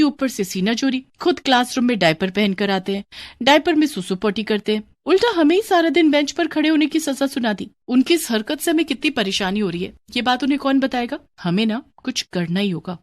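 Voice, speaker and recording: A woman voicing an indignant, mocking young schoolgirl in a cartoon story, with an animated, complaining manner. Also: fairly high pitch, brisk, voice pushed up, lightly acted. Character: indignant, mocking young schoolgirls plotting against their teacher. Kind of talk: cartoon moral story dialogue.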